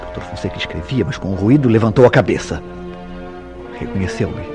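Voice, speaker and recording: A man performs a jealous, tormented lover from an old-fashioned radio soap opera. His voice is low, with formal, theatrical diction and weighty pauses, and prominent music plays behind it. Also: darkish tone, close to an everyday voice. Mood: bitter, intense. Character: Mauro, jealous, tormented lover confessing a crime.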